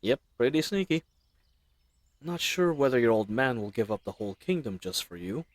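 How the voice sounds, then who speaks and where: Male actor as gentle bandit leader, audio roleplay, mid-pitched, animated intonation, folksy slang, teasing mock courtesy, chatty. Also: normal speed, dry recording, lightly acted. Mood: chatty, matter-of-fact. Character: roguish, chatty, friendly male bandit leader, mockingly polite. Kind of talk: scripted audio roleplay addressed to the listener.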